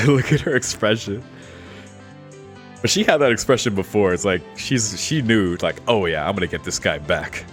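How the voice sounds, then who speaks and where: A man with a low voice talks over a game with music playing behind him. He commentates informally as he plays a visual novel, reading aloud and reacting with amusement in short exclamations. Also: lively intonation, normal speed. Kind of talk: casual let's play commentary with read-aloud passages.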